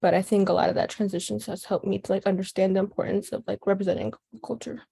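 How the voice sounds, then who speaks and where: A woman's voice: mid-range voice, level tone, quick pace, creaky, warm tone, husky. A young woman from Chicago with an American accent, speaking casually and thoughtfully as an interviewee in an oral history. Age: teens to young adult. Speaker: youth organizer being interviewed.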